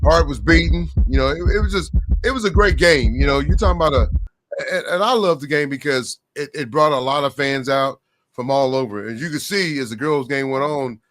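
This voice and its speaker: A man co-hosting a casual high school basketball podcast, a little hoarse and rambling, repeating words as he goes. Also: brisk, fairly bright tone. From Oklahoma. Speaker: podcast co-host. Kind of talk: casual sports chat.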